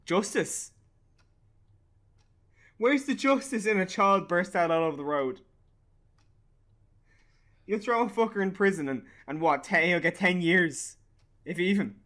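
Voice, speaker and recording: An actor with an Irish accent playing a grieving, bitter young father in a naturalistic radio play, halting and sweary. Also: high voice, expressive, fairly bright tone. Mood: furious. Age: young adult.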